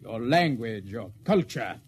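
An actor playing an alien agent posing as a young navy lieutenant, formal and guarded in classic radio drama style. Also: male voice, medium pitch, very animated, measured pace, breathy edge, full character voice. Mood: smug, contemptuous. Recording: noisy old radio broadcast recording.

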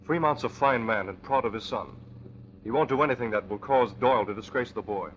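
An actor playing a resolute, cool-headed crime-fighter in a 1940s radio adventure, with measured, decisive delivery. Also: male voice, medium pitch, a bit bright, natural voice. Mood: earnest, decisive. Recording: dull, noisy old radio recording.

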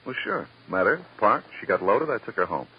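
An actor playing a cocky, hot-tempered murder suspect with flippant, slangy talk in an old-time radio crime drama. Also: male voice, lowish voice, big pitch swings, breathy edge, heavily acted. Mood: casual. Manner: flippant, slangy, quick to snap. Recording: muffled, noisy vintage radio recording.

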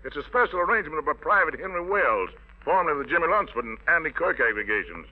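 A man's voice: on the high side, big pitch swings, fast. A man hosting a 1940s radio variety show, announcing in showy, flowery jive slang on a tinny old recording. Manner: flowery hep-talk patter, showy. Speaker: radio show host, emcee.

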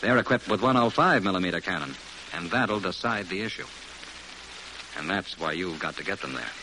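Casting: a stern, emphatic officer, tense low-pitched delivery, classic radio drama. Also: male voice, animated, brisk, pushed and bright, full character voice. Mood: urgent, firm. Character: grave, forceful military officer briefing a captain. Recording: faintly noisy old radio recording.

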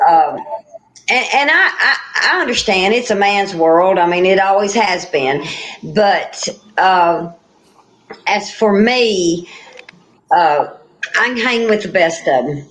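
A woman's voice: medium pitch, rough recording. A woman, talking in a casual panel chat as a guest angler, plainspoken, folksy and determined.

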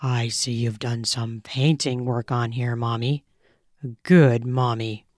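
A woman voicing a domineering, possessive young man, speaking in a low, murmured, commanding way. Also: fairly even intonation, slow, darkish tone, no room sound, clean studio sound. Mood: stern.

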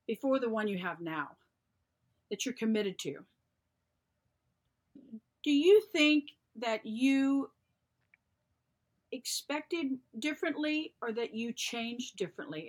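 A middle-aged woman, co-hosting a podcast and chatting thoughtfully. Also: fairly high pitch. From American South. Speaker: podcast co-host. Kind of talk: casual chat.

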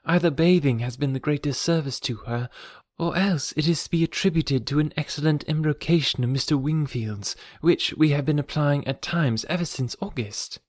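This is a man lifting his voice into an eager, gentle young mother from a classic novel, polite and affectionate. The voice is raised and softened, and the recording is dry and close. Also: on the high side, normal speed, dry recording, pitched above the performer's own voice, lightly acted. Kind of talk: audiobook character reading.

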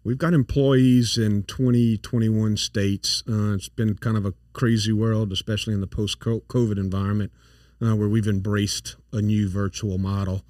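South Louisiana man, low and unhurried. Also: flat delivery, darkish tone, dry recording. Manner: earnest, many fillers and restarts. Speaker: company founder, guest. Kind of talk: casual interview talk. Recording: dry, a bit far from the mic.

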